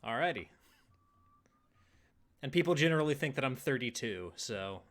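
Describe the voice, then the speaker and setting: Man in his twenties, podcast host, mid-pitched, relaxed, friendly questions. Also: moderate pace. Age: 20s. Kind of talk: casual interview chat.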